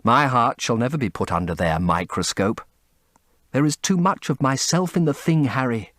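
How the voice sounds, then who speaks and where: A man performs an earnest, devoted painter from an audiobook novel. His mid-pitched voice swings widely and expressively, anguished and rhapsodic. The recording is close and dry. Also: expressive, normal speed, a bit bright, no echo, lightly acted. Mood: anguished, guarded. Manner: impassioned, rhapsodic, confessional. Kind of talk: dramatic audiobook character acting.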